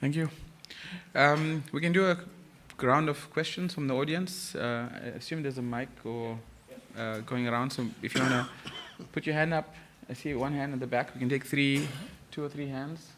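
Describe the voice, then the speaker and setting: A man's voice: mid-range voice, moderate pace, slight background noise. Man moderating a conference panel. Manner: relaxed, informal, with many fillers. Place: conference hall with an audience.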